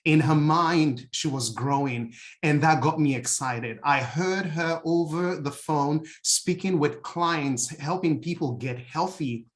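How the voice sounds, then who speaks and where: A middle-aged man with a Nigerian accent, a pastor sharing a personal testimony in an earnest, halting way with many fillers. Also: on the high side. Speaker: outreach pastor and health coach.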